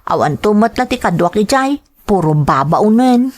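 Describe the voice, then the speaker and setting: A woman's voice: medium pitch, big pitch swings, normal speed, a little mellow, close to an everyday voice. A woman playing a sheltered, obedient young student from a rich family, with an Ilocano Filipino accent, in an audio drama. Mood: eager, wistful.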